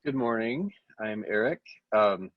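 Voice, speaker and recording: A man's voice: fairly low pitch, animated, a little slow, dry recording. A middle-aged man from North Carolina, a New Testament professor introducing himself on a video call with upbeat enthusiasm. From North Carolina, USA. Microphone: video call webcam. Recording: dull, dry webcam audio.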